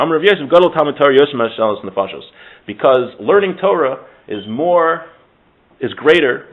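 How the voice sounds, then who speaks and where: A man's voice: mid-range voice, narrow, tinny audio. A man teaching an informal religious class, making his points with emphatic repetition and rhetorical questions to his students.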